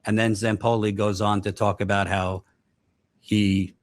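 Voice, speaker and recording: A man hosting a news commentary show, speaking deliberately and repeating points for emphasis. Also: fairly low pitch, level tone, dry recording. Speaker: host, commentator. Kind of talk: news commentary to camera, reading out quotes.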